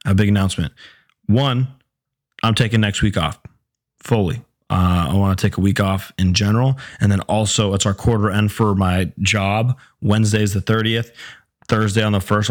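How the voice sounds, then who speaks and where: A young man hosting his own podcast in a casual, chatty way, with a sore, hoarse voice that is giving out. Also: lowish voice. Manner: laid-back, chatty, swears casually. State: sore throat, losing voice. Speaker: podcast host. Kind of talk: casual solo podcast chat.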